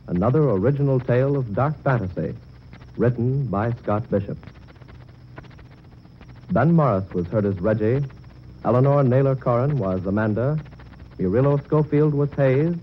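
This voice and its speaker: A dull old radio recording of a male announcer. He speaks formally at a medium pitch, with measured dramatic weight, in 1940s style. Light noise sits behind him. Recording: dull, faintly noisy vintage radio recording.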